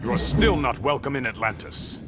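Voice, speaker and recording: A man's voice: medium pitch, expressive, moderate pace, lightly acted. An actor playing a confident, combative male superhero warrior in a video game, bold and challenging. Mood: haughty threat. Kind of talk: video game fight intro dialogue. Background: loud music.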